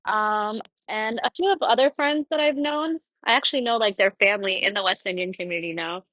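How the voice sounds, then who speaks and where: A woman's voice: mid-range voice, brisk, no echo, lo-fi sound. A young woman from Florida hosting her own podcast, talking casually about her life in a rambling, conversational way with lots of fillers. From Florida, United States. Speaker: podcast host. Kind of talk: casual personal monologue.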